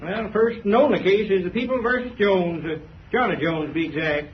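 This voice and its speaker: A man's voice: high-pitched, a little fast, natural voice. A man acting a homespun, officious small-town court bailiff in an old-time radio drama, declaiming like a crier. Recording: dull, noisy old radio transcription.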